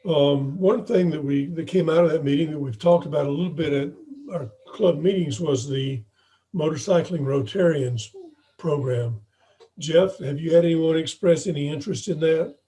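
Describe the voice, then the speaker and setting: Man, hoarse, mellow tone, slightly high pitch, halting delivery, informal meeting report, video call. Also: low-quality recording. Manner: halting, throat-clearing. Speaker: club president reporting to members. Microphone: video call microphone.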